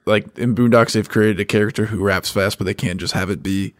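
A man co-hosting a casual podcast, making quick joking asides. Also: fairly low pitch, steady tone, no room sound, studio quality. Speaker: podcast co-host. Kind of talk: casual chat.

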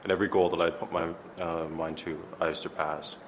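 A man with a very deep, low voice answers questions at an audition. He talks hesitantly, stacking fillers, while talking himself up. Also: level tone, brisk, slight background noise. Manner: hesitant, filler-heavy, self-promoting. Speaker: contestant. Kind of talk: casual audition interview.

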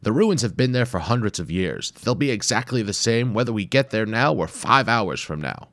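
A man's voice: fairly low pitch, lively intonation, moderate pace, a bit bright, no room sound, voice pushed up. A man voicing a fussy, heavyset bearded male archaeologist who complains and makes dry, sarcastic remarks. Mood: grumbling.

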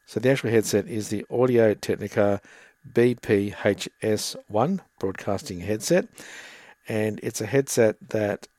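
A man with a low voice talks through a podcast gear demo in a plain, methodical way, explaining each part as he goes. The sound is close and dry, with little room tone. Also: a little slow, a little mellow, no echo. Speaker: gear reviewer. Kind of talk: casual product demo. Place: room with air conditioner running. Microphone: headset boom mic, then desktop dynamic mic.

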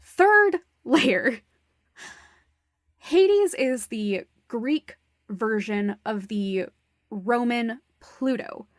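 Higher-pitched woman in emphatic casual discussion. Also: expressive, extremely slow, dry recording, clean studio sound. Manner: emphatic, analytical, swears casually. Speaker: podcast co-host discussing a stage musical. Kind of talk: casual, opinionated critical discussion.

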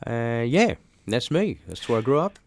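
An Australian man in his forties, a musician chatting as an interview guest, halting with fillers and restarts. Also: medium pitch, sing-song. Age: 40s. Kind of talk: casual interview talk.

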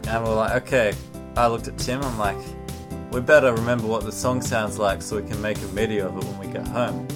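A man's voice: fairly low pitch, fairly even intonation, a little fast, music in the background. A man chatting casually and jokingly as a podcast co-host. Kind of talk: casual comic chat with co-host.

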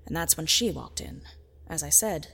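An actress playing a private detective who narrates in a deadpan film noir style. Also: female voice, deep voice, normal speed, gravelly, a little hiss or hum, full character voice. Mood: wry. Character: hard-boiled private eye narrator, wry and dry.

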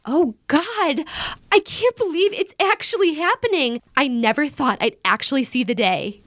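An actress playing an outspoken, wisecracking young American elder sister in a naturalistic modern audio drama, with blunt, casual banter. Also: female voice, sits high, expressive, normal speed, a bit bright, dry recording, clean studio sound. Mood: eager, exasperated. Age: young adult.

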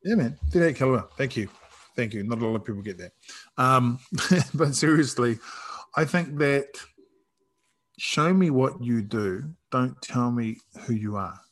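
A man with a New Zealand accent in casual conversation, reflective and halting, often rephrasing as he goes. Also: medium pitch, animated. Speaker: karate practitioner in discussion.

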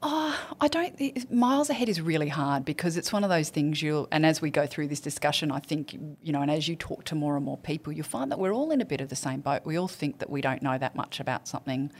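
A middle-aged Australian woman with a notably low voice talks through her story as a guest. She is earnest and keeps restarting her sentences. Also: lively intonation, quick pace, hardly any pauses. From Australia, central Queensland. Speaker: guest, finance professional. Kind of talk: podcast interview answers.

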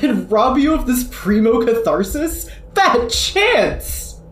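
A performer voicing a smug, scheming alien troll girl in a fan audio drama, talking in a slow, teasing, knowing way. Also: mid-range voice, big pitch swings. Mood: gleeful mocking.

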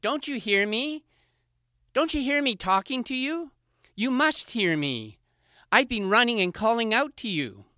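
A man voicing a spoiled, smug young vixen in a storybook tale, calling out in a high, excited voice. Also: unhurried, dry recording, clean studio sound, exaggerated voice. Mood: eager.

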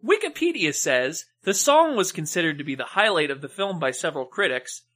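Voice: medium pitch, big pitch swings, a little fast, a bit bright. A podcast co-host giving casual film commentary in a wry, sarcastic and opinionated way.

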